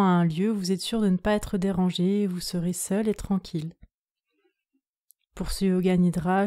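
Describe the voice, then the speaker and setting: A woman yoga nidra instructor leading a guided relaxation meditation in a calm, soothing, measured way. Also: mid-range voice.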